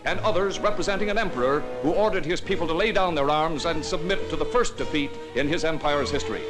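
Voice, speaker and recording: A man narrating a 1940s newsreel in a solemn, declamatory announcer's style. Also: fairly high pitch. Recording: old newsreel soundtrack with music.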